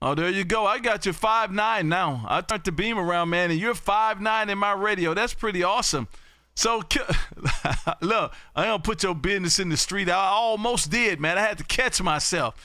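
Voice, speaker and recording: Male ham radio host, extremely bright and forceful, clean clear tone, exuberant folksy chatter. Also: high-pitched, moderate pace, no echo, studio quality. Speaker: amateur radio operator hosting a live stream. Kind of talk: casual radio chat and calling. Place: home radio room.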